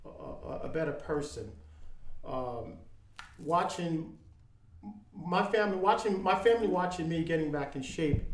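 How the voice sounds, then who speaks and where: A man in his fifties speaking in casual chat as a podcast guest, earnest and halting, with many ums and restarts. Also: a little high, reverberant, low-quality recording. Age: 50s.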